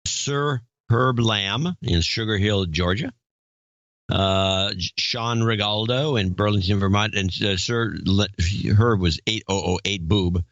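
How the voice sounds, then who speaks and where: A man co-hosting a podcast, talking casually and reading out donor names in a gruff, offhand manner. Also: fairly low pitch, slow pace, fairly bright tone, no echo, dull audio. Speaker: podcast co-host.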